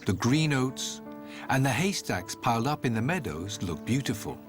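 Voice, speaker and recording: A man's voice: mid-range voice, sing-song, normal speed, music in the background. A man telling a fairy tale as a scripted, theatrical storybook narration.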